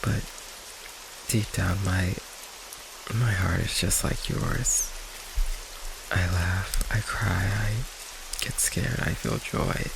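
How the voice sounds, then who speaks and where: A man playing a shy, affectionate vampire boyfriend in an intimate ASMR roleplay, speaking softly and haltingly with rain behind him. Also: deep voice, steady tone, exaggerated voice. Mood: moved, loving. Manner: soft, hesitant, halting, breathy sighs and chuckles. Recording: faint noise, rain ambience behind. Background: rain ambience.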